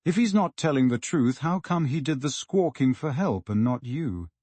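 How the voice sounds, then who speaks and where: A man voicing a stern, suspicious police lieutenant who questions gruffly in a detective novel. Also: mid-range voice, animated, moderate pace, dark tone, dry recording, voice pushed up, lightly acted. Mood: suspicious, firm. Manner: gruff, commanding, interrogating.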